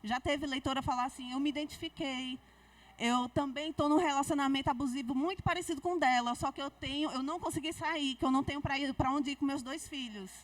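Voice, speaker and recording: Woman author from Paraíba, Paraíba accent, high bright pitch, frank and animated, picked up dry from a distance. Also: fast, no room sound. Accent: Paraíba Brazilian Portuguese. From Paraíba, Brazil. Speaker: author on a panel. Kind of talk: panel discussion at a book fair. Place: book fair stage.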